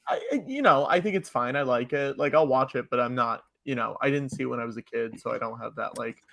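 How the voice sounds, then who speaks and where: A man speaks in an average-pitched voice in a casual podcast chat. He hedges his opinions and tends to repeat his phrases as he talks. The delivery is informal and conversational. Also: animated, quick pace, creaky, a bit bright. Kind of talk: casual chat about TV and film.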